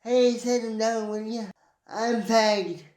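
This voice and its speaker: A tired and simple-minded mover's helper from a radio play, voiced by a woman. The tone is dark and soft-edged, the talk slangy and grumbling, and the room rings around it. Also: a little high, steady tone, takes it slowly, reverberant. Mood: weary, complaining. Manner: slangy, simple, complaining working-class talk. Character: tired, dim, good-natured male moving man's helper. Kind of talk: old-time radio drama acting.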